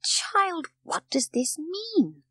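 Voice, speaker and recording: A woman voicing a formal, authoritative gentleman of the house in a storybook, with a brisk manner. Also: on the high side, very animated, no echo, voice pushed up. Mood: astonished. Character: commanding, anxious wealthy gentleman father of the house.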